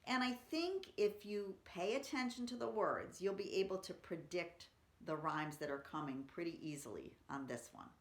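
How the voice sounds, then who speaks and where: A woman's voice: fairly low pitch, expressive, moderate pace, few pauses. A woman reading poetry aloud for children and chatting between poems, explaining simply and reading expressively. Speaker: library story-time reader. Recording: roomy, echoey room sound.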